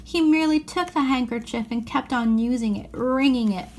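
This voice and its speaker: Woman reading a children's story, higher voice. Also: moderate pace, a little mellow, a little hiss or hum. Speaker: storybook reader. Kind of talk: expressive scripted narration of a children's novel.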